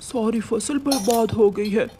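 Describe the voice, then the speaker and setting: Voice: mid-range voice, measured pace, noisy background, voice pushed down, close to an everyday voice. A performer voicing a worried, sorrowful male bull in a cartoon, with an anxious, mournful manner.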